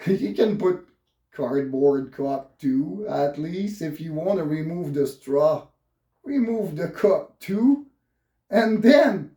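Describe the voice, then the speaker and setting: An upset man with a French Canadian accent speaks in a fairly high voice for a male. His English is halting and repetitive, peppered with swearing as he rants off the cuff. The recording is strongly roomy and echoing. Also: a little mellow. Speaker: vlogger. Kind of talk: unscripted political rant.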